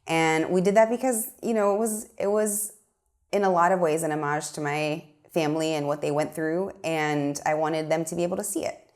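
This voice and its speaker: A woman with a notably low voice talks through her ideas in a studio interview. She is a young adult from Miami, earnest and reflective, and she often pauses on an um. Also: fairly even intonation, a little mellow. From Miami, USA. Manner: thoughtful, earnest, with fillers. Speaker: filmmaker guest. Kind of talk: interview conversation.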